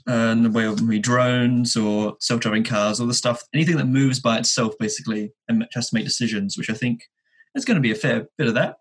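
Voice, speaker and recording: A man in his twenties with a husky voice, chatting casually as a guest, hesitant and dryly joking. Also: mid-range voice, rough recording. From Sydney, Australia. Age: 20s. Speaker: podcast guest, engineering student. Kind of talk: casual chat, telling his own story.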